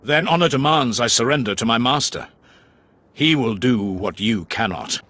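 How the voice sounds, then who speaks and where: An actor playing a grave, honor-bound Sith warrior in a video game, with a rough voice and solemn, formal delivery. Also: male voice, lively intonation, moderate pace, sharp tone. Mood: troubled. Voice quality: deep, rough, husky. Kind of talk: video game dialogue acting.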